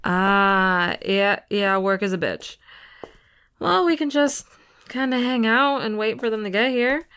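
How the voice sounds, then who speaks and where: A woman's voice: mid-range voice, lively intonation, unhurried, a bit bright, a little hiss or hum. A woman acting as a teasing, easygoing older sister in an audio roleplay, with playful, exaggerated reactions.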